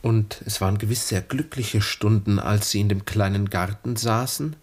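An actor playing an earnest, wistful lieutenant of twenty-three in a 1960s German radio play, speaking formally and gently probing. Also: male voice, lowish voice, steady tone, normal speed, natural voice. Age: young adult.

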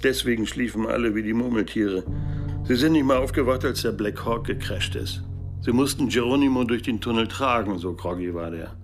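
Actor as a gravelly, terse soldier. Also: male voice, mid-range voice, brisk, close to an everyday voice. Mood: resolute. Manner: terse, matter-of-fact. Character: terse, resolute special-forces leader confessing a secret operation. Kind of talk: German radio drama dialogue. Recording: music behind the voice.

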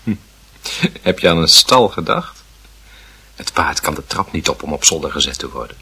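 An actor playing an indulgent, gently reassuring father of a small boy in a radio drama. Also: rumbling low, animated, normal speed, slightly rough, heavily acted. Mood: indulgent, amused. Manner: coaxing, playful, reassuring.

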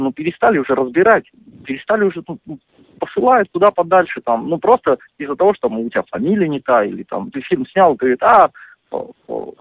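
A man talking over the phone, animated and indignant, full of fillers and restarts. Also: fairly high pitch, creaky, no echo. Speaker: interviewee on a phone call. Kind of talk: casual phone conversation. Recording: dry tinny phone line.

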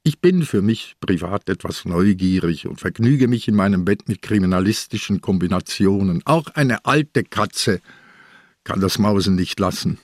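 An old, ailing police detective in a crime radio play. His voice is low and close, with a shrewd, wry manner and deliberate delivery. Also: animated, no echo. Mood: wry, confiding. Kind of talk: radio drama acting.

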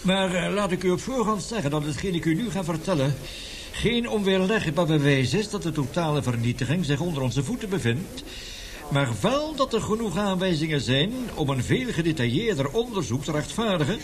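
An actor playing an older, indignant scientist professor in a radio play, vehement and lecturing, halting at times. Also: male voice, high-pitched, sing-song. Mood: grave, urgent. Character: indignant, passionate scientist professor with a weak heart. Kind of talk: radio drama acting.

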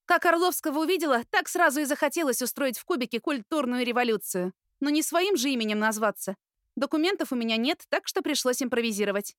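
A caring, cheeky older sister played by a woman narrator speaks in a high, animated voice with a playful, motherly manner. It is audiobook character acting, recorded dry and close. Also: fast talker, no echo. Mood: confiding.

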